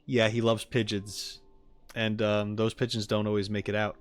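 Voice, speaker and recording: A man reacting casually on a livestream, wry and halting, often repeating his phrases. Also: lowish voice, flat delivery, soft background music. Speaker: streamer reacting to game audio.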